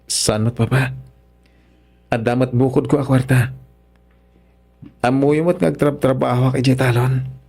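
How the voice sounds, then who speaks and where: Man acting a husky, humble Ilocano son-in-law. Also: medium pitch, steady tone, measured pace, long pauses, a little mellow. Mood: deferential. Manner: respectful, hesitant. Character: humble, earnest poor young son-in-law. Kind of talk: Ilocano radio drama acting.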